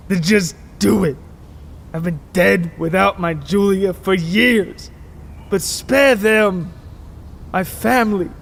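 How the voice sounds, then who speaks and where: A man's voice: sits high, vocal fry, a bit bright, pitched above the performer's own voice, full character voice. A man voicing a despairing widowed captive who pleads mournfully in an audio story.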